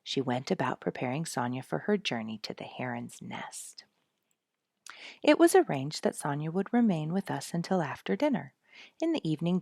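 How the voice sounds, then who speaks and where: A woman's voice: low voice, creaky, a little mellow, no echo, studio quality. A woman storyteller reading a scripted nature narrative aloud.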